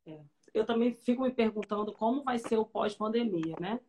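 High-voiced woman. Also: fairly quick, darkish tone. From Rio de Janeiro, Brazil. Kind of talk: casual online conversation.

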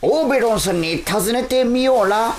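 A woman's voice: a little high, expressive, moderate pace, background noise, lightly acted. A woman voicing a small, upbeat, childlike talking beetle in a radio drama, ending sentences with a cute verbal tic. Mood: cheerful, helpful. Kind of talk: cartoonish radio drama acting. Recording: faint music behind the voice.

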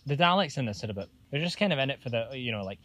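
A man's voice: mid-range voice, animated, rapid-fire, a bit bright, no echo. Guy cohosting a podcast outside, dry teasing banter, laid back chat. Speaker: podcast co-host. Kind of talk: casual chat. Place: outdoors near a railway line.

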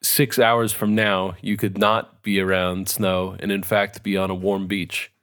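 Middle-aged male co-host, low voice, wry rambling chat. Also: little pitch movement, a little slow, fairly bright tone, smooth. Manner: rambling, wry, laughs often. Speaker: podcast co-host. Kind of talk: casual chat.

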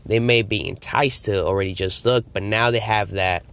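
A man's voice: fairly low pitch, fairly even intonation, moderate pace, gravelly, fairly bright tone, smooth. A man with a cold and a slightly nasal voice, coaching on sales in a casual talk, acting out customer and salesperson lines. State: congested from a cold. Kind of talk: casual instructional talk to camera.

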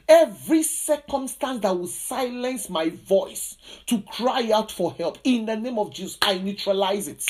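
A woman's voice: medium pitch, a little mellow. A woman preacher delivering a charismatic sermon and prayer, emphatic and insistent, with repeated declarative phrases.

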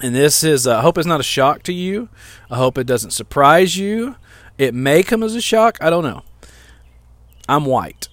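A man's voice: a little high, expressive, moderate pace, no echo. A man in his forties with a drawl talks in a slightly raised voice, restarting phrases and poking fun at himself as he preaches on his podcast. The sound is dry and close, with almost no room around it. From Louisiana, American South. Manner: rambling, repeats words, self-deprecating humor. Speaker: podcast host. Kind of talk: sermon-like podcast talk. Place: outdoors.

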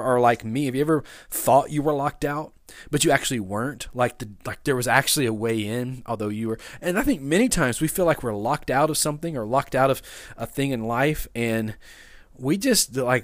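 A man, a father of young sons, giving a conversational sermon that opens with a personal story. He talks in a loose, halting way, with many restarts and fillers. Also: mid-range voice, lively intonation, no echo. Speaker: pastor.

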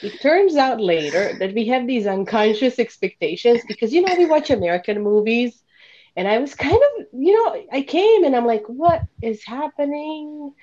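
A woman's voice: fairly high pitch, moderate pace. Woman telling stories, dull audio. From Bosnia. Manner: animated storytelling, laughs often. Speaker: podcast guest. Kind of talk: casual interview talk.

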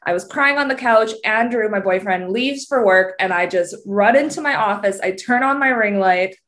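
A woman's voice: medium pitch, normal speed, fairly bright tone. A young adult woman with a Canadian accent, a podcast guest chatting candidly and laughing as she tells her story. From Canada, British Columbia. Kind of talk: casual chat.

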